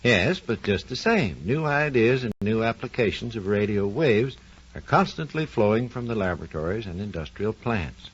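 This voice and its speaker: A man's voice: fairly low pitch, expressive, moderate pace, husky. A man with an American accent giving a scripted 1940s educational radio talk as a research engineer, in a formal, explanatory manner. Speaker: research engineer presenting a science program. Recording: muffled, lo-fi 1940s radio recording.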